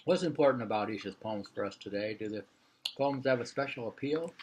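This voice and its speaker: A man's voice: medium pitch, fairly quick, background noise. A man reading an interview aloud in an informal way. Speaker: reader of a printed interview.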